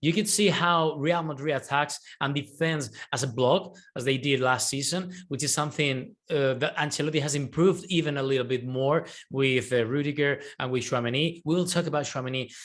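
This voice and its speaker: Halting, passionate man football pundit. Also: mid-range voice. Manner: hesitant, many restarts, animated. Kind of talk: casual football analysis.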